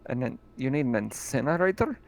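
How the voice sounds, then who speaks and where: A man's voice: medium pitch, big pitch swings, a little fast, vocal fry, darkish tone. A man running a tabletop game over a voice call, often laughing and asking his players what they do. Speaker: game master.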